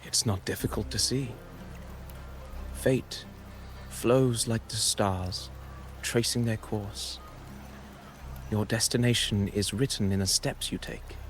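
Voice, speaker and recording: An actor playing a calm, enigmatic wandering poet in a video game, speaking in a measured, lyrical and formal way with deliberate pauses. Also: male voice, lowish voice, faint music behind. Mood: calm, cryptic. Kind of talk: dramatic video game dialogue, poetic narration.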